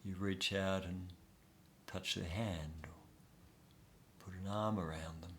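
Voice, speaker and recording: A man guiding a soft, soothing inner-child meditation with long pauses. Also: low voice, steady tone, slight background noise. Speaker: meditation guide.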